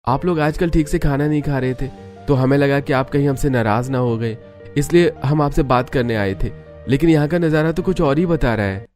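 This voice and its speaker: A performer playing the outspoken grown child of a bickering family in a cartoon, expressive and emphatic. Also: mid-range voice, normal speed, faint music behind, natural voice. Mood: concerned. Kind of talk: Hindi family cartoon voice acting.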